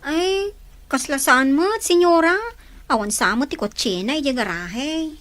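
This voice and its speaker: A woman's voice: high-pitched, expressive, a little slow, fairly bright tone, no echo. A woman voicing a polite, deferential housemaid with an Ilocano accent.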